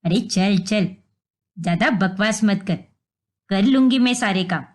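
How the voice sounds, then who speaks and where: A woman voicing a stern, suspicious older mother-in-law in a story, bossy, sharp-tongued and scolding. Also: mid-range voice, measured pace, pitched below the performer's own voice, close to an everyday voice. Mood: scornful.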